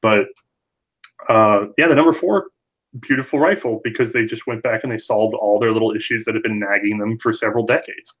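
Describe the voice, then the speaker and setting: A man's voice: fairly low pitch, a little fast, a bit bright, muffled recording. A man giving conversational expert explanations as an interview guest, thinking aloud in long runs. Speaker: podcast guest, firearms history presenter.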